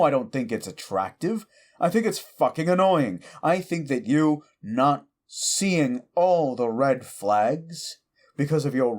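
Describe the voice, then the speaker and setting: A scornful, lawful-evil demon, voiced by a man, who berates the listener with bitter contempt. His voice is a middling male pitch, and he speaks slowly and deliberately, dropping to hushed menace before rising into exasperated tirades. This is an audio roleplay.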